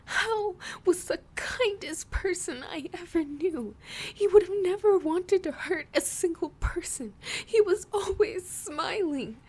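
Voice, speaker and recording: Woman doing a sobbing, high-pitched girl. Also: takes it slowly, darkish tone. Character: distraught young girl, sobbing and self-blaming.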